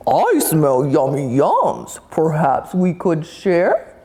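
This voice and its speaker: A woman's voice: deep voice, wildly swooping pitch, slow, warm tone, pitched below the performer's own voice, heavily acted. A woman voicing a friendly, cheerful male badger in a storybook. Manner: cheerful, grinning.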